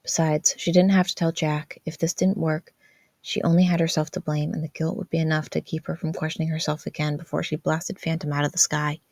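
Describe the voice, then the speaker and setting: A woman's voice: sits low, level tone, a little fast, darkish tone, no room sound. A woman reading scripted fan fiction narration as a podfic narrator.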